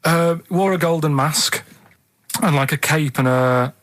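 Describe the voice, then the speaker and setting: Male radio regular, Mancunian, rambling and unhurried with fillers, dry close studio mic. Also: on the high side, fairly bright tone, dry recording. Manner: hesitant, full of ums and "and stuff". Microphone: studio microphone.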